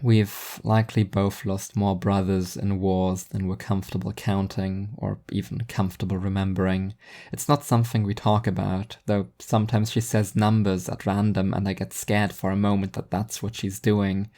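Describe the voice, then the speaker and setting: A man's voice: low-pitched, level tone, normal speed, warm tone. A man reading first-person fiction narration in an introspective, wry manner.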